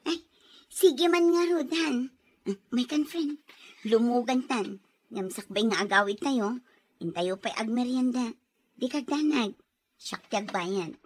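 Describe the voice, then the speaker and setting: A woman's voice: fairly high pitch, expressive, measured pace, husky. A woman with an Ilocano Filipino accent acting a headstrong young daughter in a radio comedy-drama. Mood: friendly. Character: headstrong, sorrowful young daughter refusing arranged marriage.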